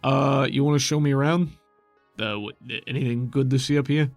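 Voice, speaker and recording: A man playing a hesitant, polite, bewildered fellow, lifted in pitch. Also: fairly quick, no echo, faint music behind, lightly acted. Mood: friendly, awkward. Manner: hesitant, stammering. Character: polite, easily bewildered male companion.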